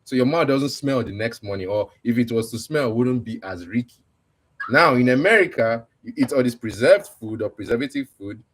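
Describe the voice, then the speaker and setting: A man's voice: mid-range voice, expressive, fairly quick, fairly bright tone, rough recording. Male livestream host, mid-range voice, casual, explanatory delivery.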